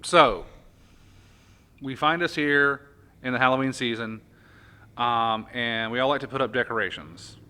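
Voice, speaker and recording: A man with an American accent and a bright, forward voice. He speaks as a podcast host in a conversational style, at a middling pitch. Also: unhurried, long pauses, clean tone.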